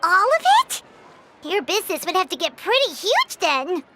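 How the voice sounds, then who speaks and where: A woman voicing a tiny, excitable floating companion girl who talks about herself in the third person, in game cutscene dialogue. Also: very high voice, normal speed. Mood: eager. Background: game soundtrack music.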